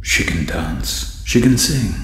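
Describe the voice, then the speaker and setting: A man's voice: fairly low pitch, lively intonation, slow, a bit bright. A man playing a proud, methodical robot inventor in a video game, presenting in short, clipped statements. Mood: coolly proud. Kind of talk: scripted video game voice acting. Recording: distant, echoey hall reverb.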